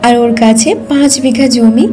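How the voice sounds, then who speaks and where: High, mellow-voiced woman storyteller. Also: fairly even intonation, normal speed, soft background music. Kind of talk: scripted cartoon folk-tale narration.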